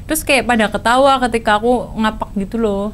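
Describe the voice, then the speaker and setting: A woman's voice: a little high, fairly quick, slight background noise. A young woman with a Banyumasan ngapak accent, telling stories in a casual chat as a guest, chatty and giggly. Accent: Banyumasan (ngapak) Javanese.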